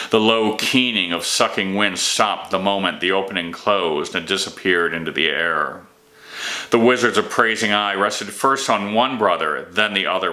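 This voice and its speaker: A man reading his own fantasy novel aloud in an expressive storytelling style. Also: on the low side. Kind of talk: expressive audiobook-style narration of fantasy fiction.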